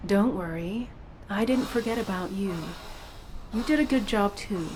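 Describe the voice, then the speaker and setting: A woman's voice: medium pitch, a little slow, darkish tone, a little hiss or hum, close to an everyday voice. A woman playing a stern, secretive sci-fi intelligence operative in a video game, controlled, dry and coolly menacing. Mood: resigned, firm.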